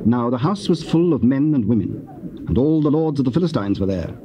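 A man narrating scripture as part of an audio drama, solemn, measured and formal. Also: mid-range voice, expressive. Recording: dull, faintly noisy cassette recording.

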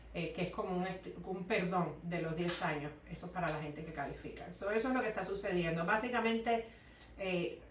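A woman's voice: medium pitch, fairly bright tone, a little hiss or hum. A woman in her thirties from Cuba with a Cuban Spanish accent, an immigration lawyer answering viewers' questions live in her office, mixing in English words. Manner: explanatory, reads questions aloud, mixes in English words. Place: law office, indoors. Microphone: webcam at desk distance. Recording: echoey office, voice a bit far from mic.

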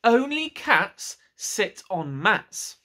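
A bossy cat from a picture book, acted out by a man. The delivery is emphatic and insistent, slowly drawn out with a lively, swooping pitch. It sounds stubborn and sure of its rules. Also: full character voice. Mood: bossy, impatient. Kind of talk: children's picture book read aloud.

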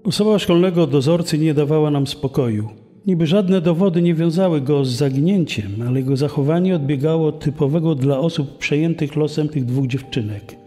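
A man's voice: a little high, a little fast, dark tone, close to an everyday voice. A man playing a sombre police investigator recalling a case in scripted testimony. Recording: long reverb, music underneath.

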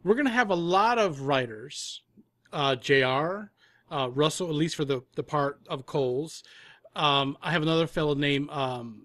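A man's voice: a little high, moderate pace, fairly bright tone, no room sound, clean studio sound. A man giving conversational interview answers as a guest producer, explaining things at length in rambling detail. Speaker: audio drama producer and composer, guest.

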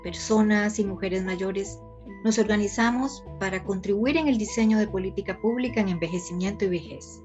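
A woman's voice: mid-range voice, normal speed, darkish tone, lo-fi sound, faint music behind. A woman in her sixties reading an advocacy statement earnestly to a webcam. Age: 60s. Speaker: older rights advocate. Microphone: video call webcam.

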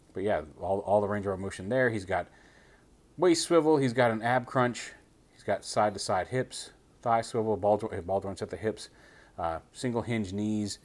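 Man, low voice, casual toy review, step-by-step explaining, indoor tabletop. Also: darkish tone. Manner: casual, explains step by step while handling a figure. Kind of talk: hands-on toy review walkthrough.

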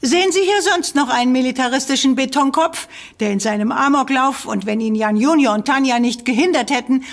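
Sarcastic heroine, high voice. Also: female voice, a little fast. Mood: sharp. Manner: sharp and sarcastic. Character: sharp-tongued woman, Laura.

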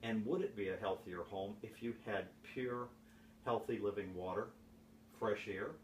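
A man in his sixties giving an informal sales presentation to camera in a deliberate, questioning manner. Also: lowish voice. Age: 60s. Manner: deliberate, with repeated rhetorical questions. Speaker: presenter pitching home health products. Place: home office, indoors. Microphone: camera mic from across the room. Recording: echoey, distant camera mic with background hiss.

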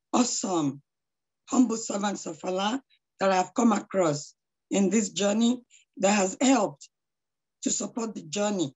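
A middle-aged woman with a hoarse voice, introducing a speaker on a video call with effusive praise and frequent prayers. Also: mid-range voice, darkish tone, rough recording. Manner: devout, effusive, praying often. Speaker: charity founder introducing a speaker. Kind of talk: online lecture introduction. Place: small room at home. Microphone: laptop webcam on a video call.

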